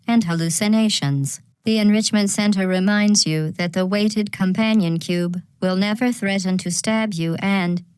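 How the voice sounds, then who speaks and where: A woman's voice: medium pitch, a little slow, hardly any pauses, darkish tone, clear, resonant tone, no echo, natural voice, rough recording. A woman voicing a coolly sinister female artificial intelligence in a video game, making clinical, detached announcements with false courtesy.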